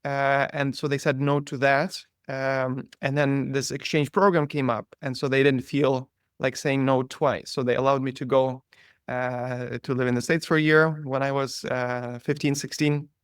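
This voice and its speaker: A man from Ukraine with a Ukrainian accent, a priest telling his life story as an interview guest in a relaxed, conversational way with many fillers. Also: medium pitch, normal speed, clean tone, dry recording, clean studio sound. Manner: conversational, frequent fillers and restarts.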